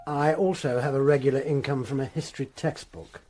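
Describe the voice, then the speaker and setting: A man's voice: mid-range voice, steady tone, brisk, music in the background, lightly acted. A man playing a guarded headmaster of about forty in a radio drama, formal and defensive. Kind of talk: full-cast radio drama acting.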